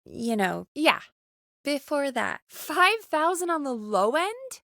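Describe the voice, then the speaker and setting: A woman voicing a video game character, a brisk, wry late-night radio producer who talks in a bossy, teasing, conversational way. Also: sits high, sing-song, vocal fry, fairly bright tone, no room sound, full character voice. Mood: wry, dry. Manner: bossy, teasing, dry sarcasm. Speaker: radio show producer giving cues.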